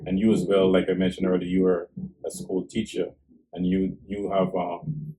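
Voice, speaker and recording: A man interviewing a guest, asking calm questions and murmuring soft agreement while she talks. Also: sits low, moderate pace, low-quality recording.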